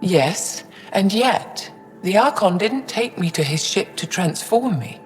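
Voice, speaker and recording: A woman's voice: medium pitch, lively intonation, slow, big-room sound, faint music behind. An actress playing a proud, stern older female alien scientist in a video game, speaking formally and pointedly. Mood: haunted, accusing. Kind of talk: dramatic video game acting.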